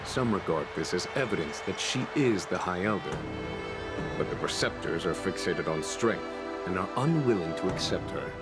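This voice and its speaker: A calm, scheming general in a fantasy video game. The voice sits very low and stays smooth and knowing, never rushed. Loud orchestral game music plays behind him. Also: male voice. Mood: composed. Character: calm, cunning adult male strategist general. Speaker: game character. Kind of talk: video game cutscene dialogue.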